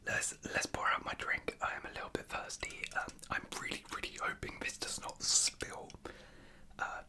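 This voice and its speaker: A British ASMR host whispering casual, unscripted chat in a hesitant, rambling way. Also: moderate pace. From United Kingdom.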